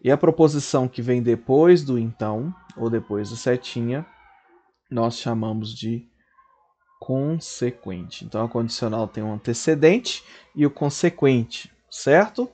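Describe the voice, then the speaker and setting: A man's voice: mid-range voice, unhurried, mellow. Man teaching logic at a board. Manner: explains step by step, often checks in with "certo?" and "tá?". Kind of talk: recorded logic lesson.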